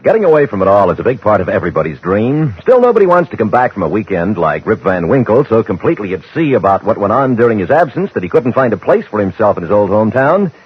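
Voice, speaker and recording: A man's voice: fairly low pitch, sing-song, fast talker, hardly any pauses. An American man announcing for network radio in a polished, emphatic 1950s broadcast style. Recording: dull old radio recording, faint music.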